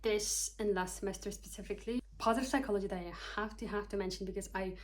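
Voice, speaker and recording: A woman's voice: medium pitch, steady tone, fast talker. A young woman from Kyrgyzstan in her twenties, a psychology student, chatting casually to camera, joking at herself and loosely reading from her notes. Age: 20s. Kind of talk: casual talking-to-camera vlog.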